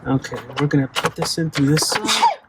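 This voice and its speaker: A man's voice: on the high side, huge pitch swings, moderate pace, vocal fry, very noisy. A middle-aged man chatting informally and crudely as he works with his hands. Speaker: host of an off-road vehicle install channel. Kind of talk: casual hands-on chat while working. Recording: music playing behind the voice. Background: music.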